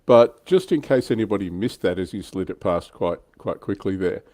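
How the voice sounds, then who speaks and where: Deep voiced guy hosting a casual hobby chat, bit of teasing, dry close sound. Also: expressive, moderate pace, a little mellow, no echo. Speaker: host of a tabletop wargaming livestream.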